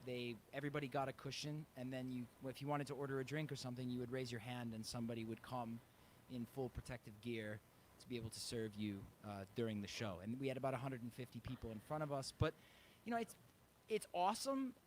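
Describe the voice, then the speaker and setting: A man's voice: mid-range voice, quick pace, hardly any pauses. A man with a mid-range voice speaks casually as an interviewed musician. There is faint ambient noise behind him. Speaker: touring musician being interviewed. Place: live online interview.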